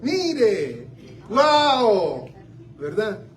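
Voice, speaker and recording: Animated older Central American preacher. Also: male voice, sits high, very slow. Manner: animated, swings from loud exclamations to quiet asides. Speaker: pastor. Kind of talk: sermon. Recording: slightly distant mic with faint room noise.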